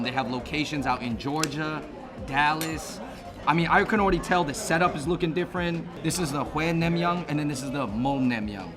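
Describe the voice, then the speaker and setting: A chatty man hosts a food vlog, his voice a little hoarse and his delivery upbeat and excitable. Clear music plays under him, with street traffic heard outdoors. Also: mid-range voice. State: slightly hoarse. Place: outdoors on a city street and inside restaurants.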